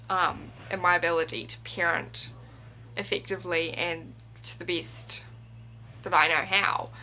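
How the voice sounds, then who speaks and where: Slow-talking young woman, noisy recording. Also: lowish voice, bright, forceful tone. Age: 20s. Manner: reflective, halting with pauses. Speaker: video blogger. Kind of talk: personal vlog monologue, confiding.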